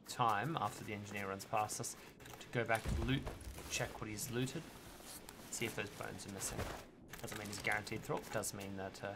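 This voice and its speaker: A man's voice: lowish voice, vocal fry, noisy background. A man in his twenties streaming a game, thinking aloud and reasoning under his breath about suspects. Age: 20s. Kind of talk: casual gameplay commentary.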